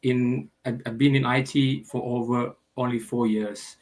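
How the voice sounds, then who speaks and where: A man's voice: mid-range voice, steady tone, measured pace, darkish tone. A man answering as a guest on an online panel, hesitant with many fillers and restarts. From Indonesia.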